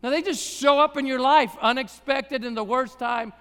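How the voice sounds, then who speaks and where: A man preaching a sermon in a church with a raspy voice, talking conversationally with self-deprecating humor. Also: extremely high pitch, moderate pace, sharp tone, big-room sound. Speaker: guest preacher.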